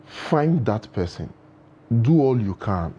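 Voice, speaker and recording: A man with a low, mellow voice gives earnest advice full of proverbs, often restarting his sentences. The sound is dull and lo-fi, like a TV broadcast. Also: very animated, takes it slowly, slightly rough. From Nigeria. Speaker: guest. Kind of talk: studio interview.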